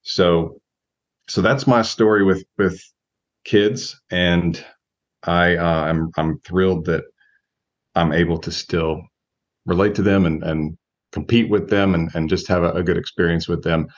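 A man in his forties with a very low voice talks through his answers as an interview guest. He is measured and reflective, feeling his way through phrases. The recording sounds dull and lo-fi. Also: fairly even intonation. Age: 40s. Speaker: guest, relationship coach.

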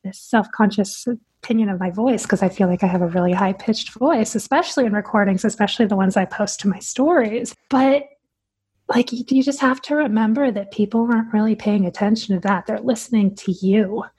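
A woman's voice: medium pitch, a little fast, a little mellow, breathy edge. A woman speaking as a dietitian guest on a podcast, answering in a direct, earnest way.

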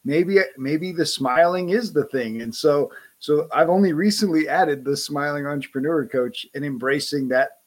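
A man in casual conversation, friendly and quick to laugh, often repeating words as he starts a thought. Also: a little high.

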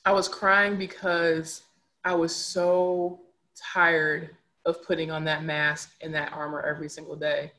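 A woman's voice: low-pitched, flat delivery, a little slow, pushed and bright. A young adult woman speaking candidly as a podcast guest, earnest and reflective, repeating words for emphasis. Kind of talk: candid conversational storytelling.

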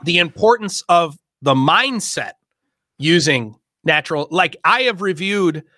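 A man hosting a casual discussion, explaining in quick, emphatic bursts with many fillers. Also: fairly high pitch, animated, bright, forceful tone, no echo, clean studio sound. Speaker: host and workshop leader.

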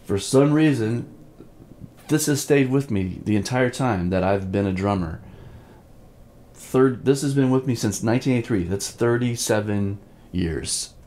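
A man's voice: mid-range voice, unhurried, warm tone. A man in his fifties giving an informal drumming lesson with personal reminiscence, speaking reflectively and repeating phrases for emphasis. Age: 50s. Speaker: drum teacher, host.

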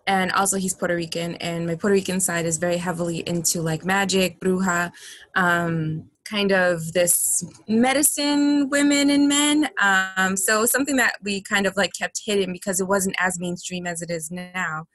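A woman's voice: on the low side, fairly even intonation, normal speed, fairly bright tone. A woman speaking casually and informally as a guest in an interview, peppering her talk with filler phrases like "like". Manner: informal, frequent "like" and "you know". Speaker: podcast guest, artist and crystal healer.

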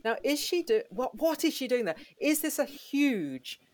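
A woman interviewed as a literary expert, talking conversationally in a halting, emphatic way with repeated words. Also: high-pitched, very animated. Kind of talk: animated conversational discussion.

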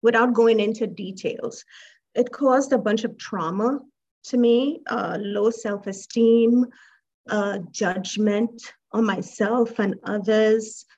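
A woman from Trinidad and Tobago, a guest telling her life story in an interview, reflective and hesitant, over muffled call audio. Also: a little high. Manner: reflective, unhurried, with soft laughs and hesitations.

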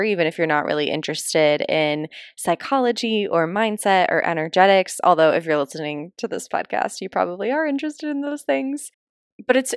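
A young woman talks through her solo podcast in an earnest, chatty way, her voice a touch raspy from travel. Her tone sits a little low, and the sound is dry and close with no room around it. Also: no echo. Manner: chatty, earnest, laughs often, many fillers like "like" and "you know". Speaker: podcast host and business coach. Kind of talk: casual solo podcast monologue.

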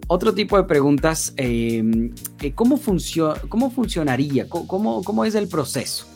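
A man's voice: on the high side, big pitch swings, normal speed, gravelly, a little mellow, soft background music. A man with an Ecuadorian accent giving an informal sales lesson with role-played examples, often checking in with short tag questions. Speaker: sales and marketing trainer.

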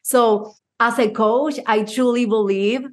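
A woman's voice: fairly high pitch, steady tone, slow. Upbeat woman with a Puerto Rican accent. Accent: Puerto Rican Spanish. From Cuba. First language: Spanish. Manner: enthusiastic, personal storytelling. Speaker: mindset coach and speaker. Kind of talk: motivational talk to a live audience.

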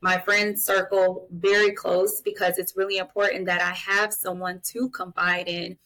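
A woman's voice: fairly low pitch, flat delivery, moderate pace, sharp tone, poor audio. Candid woman in her late thirties. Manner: candid, addresses listeners directly, emphatic. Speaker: podcast guest, mental health advocate and guardian. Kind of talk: conversational interview, personal testimony and advice.

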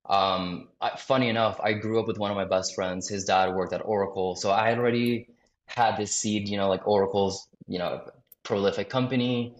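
A young man from South Florida talks casually as a podcast guest. His voice sits fairly low. He rambles through fillers and restarts, and the room around him sounds echoey. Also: fairly even intonation, normal speed, muffled recording. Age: young adult. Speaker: sales director, podcast guest. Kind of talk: casual interview talk.

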